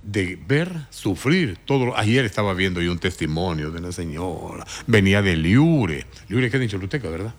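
A man hosting a morning radio talk show, commenting with indignant emphasis and sudden rises in pitch. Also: animated, normal speed, few pauses.

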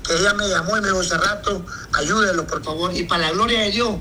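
A man's voice: high voice, fast, bright, forceful tone, a little hiss or hum, low-quality recording. A man, a pastor answering interview questions emphatically and often asking if he's understood. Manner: emphatic, repeats "me entiende".

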